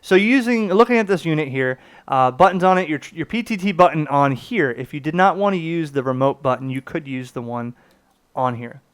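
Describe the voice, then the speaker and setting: Clear-voiced man presenting a gadget review. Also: a little high, fairly quick. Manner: explanatory, conversational, emphatic key words. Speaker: product reviewer, channel host. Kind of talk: casual product demonstration to viewers.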